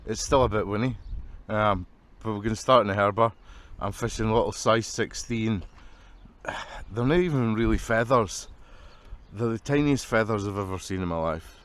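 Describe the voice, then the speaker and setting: A man's voice: lowish voice, normal speed, fairly bright tone. A Scottish man vlogging about his fishing, chatting casually with lots of swearing and ums, his voice stuffy and nasal from a blocked nose. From Scotland. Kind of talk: casual chat to camera.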